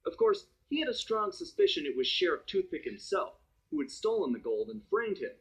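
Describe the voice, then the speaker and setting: Cool male thief narrator, husky voice, lively swings in pitch, wry, conspiratorial game cutscene storytelling. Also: brisk, exaggerated voice, low-quality recording. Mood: wry, intrigued. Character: wry, cool male gang-leader thief recounting adventures.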